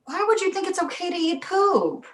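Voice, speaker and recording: Lady as dry skeptical cartoon mom, high voice that moves around a lot, echoey room. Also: big pitch swings, moderate pace. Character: skeptical, exasperated young mother in a cartoon sitcom. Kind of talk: animated comedy pilot table read. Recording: roomy echo.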